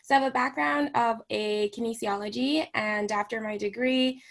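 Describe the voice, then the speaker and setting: A woman's voice: fairly high pitch, steady tone, moderate pace, gravelly. Young woman from Hong Kong, recreation therapist, casual radio chat, lots of "like" and "right?". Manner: chatty, many fillers and "right?" tags. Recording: radio studio interview.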